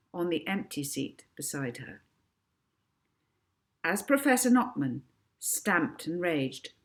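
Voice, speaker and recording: A woman narrating a children's storybook expressively, as an author reading her own book. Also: fairly low pitch.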